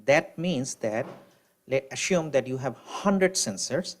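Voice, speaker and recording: A man's voice: medium pitch, animated, slow. Man explaining technical ideas with fillers. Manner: explanatory, frequent "you know" fillers. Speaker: panelist. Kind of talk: technical panel discussion.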